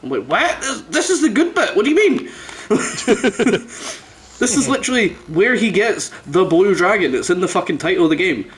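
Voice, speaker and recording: A man's voice: sits high, big pitch swings, normal speed, slight background noise. A man chatting casually as a podcast host on a headset, telling jokey, sweary anecdotes. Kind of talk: casual chat. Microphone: headset microphone.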